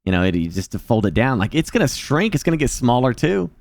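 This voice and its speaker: A man's voice: mid-range voice, sing-song, fast talker, vocal fry, dry recording. A man in his forties chatting casually with his stream viewers, joking and self-mocking. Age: 40s.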